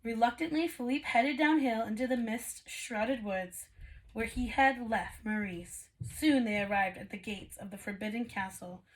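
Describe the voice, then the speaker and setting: A woman who teaches kindergarten prep, reading a storybook to young children in an expressive, animated way. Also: fairly high pitch, a little slow, rough recording. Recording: clear background noise.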